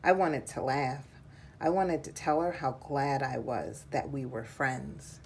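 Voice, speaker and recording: A woman reading a children's picture book aloud in a measured, deliberate storytelling style. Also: a bass voice, a little mellow, slight background noise.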